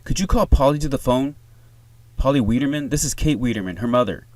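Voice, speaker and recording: A man's voice: medium pitch, fairly quick, dry recording, voice pushed up, natural voice. A man reading the part of an anxious, urgent wife and mother on the phone in a screenplay. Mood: worried. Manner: urgent, insistent.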